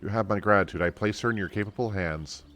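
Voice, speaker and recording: A man's voice: fairly low pitch, quick pace, fairly bright tone, lightly acted. A man voicing a loyal, earnest noblewoman mentor from a fantasy game, in stately, old-fashioned phrasing. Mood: grave, courteous.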